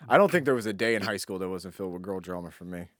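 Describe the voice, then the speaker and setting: A man's voice: low-pitched, expressive, racing, fairly bright tone, dry recording, clean studio sound. A man in his twenties co-hosting a podcast in a blunt, informal, slangy way. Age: 20s. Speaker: podcast co-host. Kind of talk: casual podcast chat.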